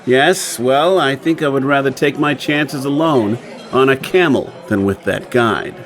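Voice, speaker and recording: An actor playing a sardonic gentleman adventurer with a measured, deadpan manner in a radio drama. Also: medium pitch, faint music behind, close to an everyday voice. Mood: calm. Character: dry, sardonic gentleman adventurer, the professor's partner.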